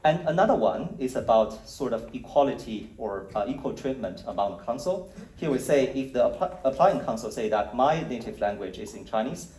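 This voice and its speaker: A man presenting survey results at a conference, speaking in a measured, explanatory way with occasional fillers. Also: mid-range voice, lively intonation, darkish tone. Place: conference hall. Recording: roomy hall audio, mic at a distance.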